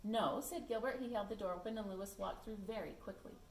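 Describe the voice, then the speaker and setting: A woman's voice: medium pitch, fast. Woman reading a storybook, echoey and distant. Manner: animated, acts out the dialogue. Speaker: reader of a children's picture book. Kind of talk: expressive storybook read-aloud. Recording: echoey room, distant mic, background noise.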